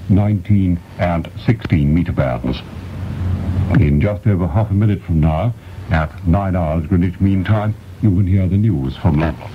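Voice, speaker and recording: A man giving a formal, scripted station announcement on a vintage shortwave broadcast. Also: deep voice. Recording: dull vintage shortwave with faint noise.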